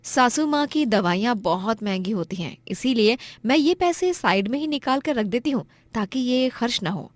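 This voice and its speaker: A woman's voice: fairly high pitch, lively intonation, normal speed, few pauses, no echo, close to an everyday voice. A woman voicing a dutiful, brave young daughter-in-law in a melodramatic cartoon, earnest and emphatic.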